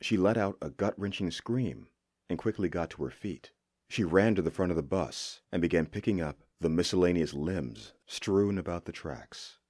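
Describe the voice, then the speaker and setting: Very deep male horror story narrator. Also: moderate pace, no room sound, clean studio sound. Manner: suspenseful, expressive delivery. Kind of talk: scripted dramatic narration.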